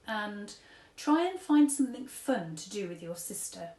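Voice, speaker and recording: A woman voicing a kindly, wise old man who talks gently in an old-fashioned way, in an echoey room. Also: medium pitch, very animated, a little slow. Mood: gentle advice.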